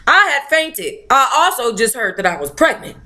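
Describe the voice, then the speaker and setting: Woman, book club reader, bright tone, very lively intonation, sassy, informal, playful asides. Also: sits high, normal speed. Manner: animated, sassy, informal interjections. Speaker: book club host reading a memoir aloud. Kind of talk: expressive read-aloud with playful ad-lib commentary.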